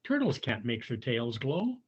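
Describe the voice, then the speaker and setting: A man's voice: medium pitch, sing-song, measured pace, breathy edge, lo-fi sound, pitched below the performer's own voice. A man voicing a polite, wide-eyed little male firefly in a children's storybook. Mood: amazed.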